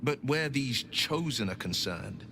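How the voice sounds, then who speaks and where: An actor playing a noble, resolute young hero who speaks in an earnest, rousing way. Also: male voice, medium pitch, animated, measured pace, vocal fry, noisy background. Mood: reflective. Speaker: game companion. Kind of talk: video game dialogue.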